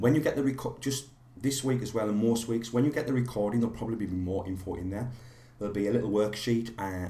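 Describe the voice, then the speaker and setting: A man with a slightly hoarse voice from a cold, teaching a live online course in a rambling way with many fillers and restarts. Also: on the low side, a little mellow, roomy sound.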